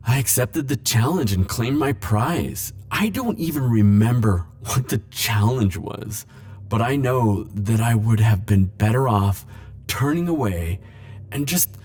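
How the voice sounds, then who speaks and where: A man's voice: medium pitch, lively intonation. Man acting a lovesick immortal, swinging with feeling. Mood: wistful, confessional. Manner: halting, emotional, stammering confessions with soft wry asides. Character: lovesick immortal man, flirtatious, desperate, world-weary. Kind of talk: romantic audio roleplay, intimate dramatic acting.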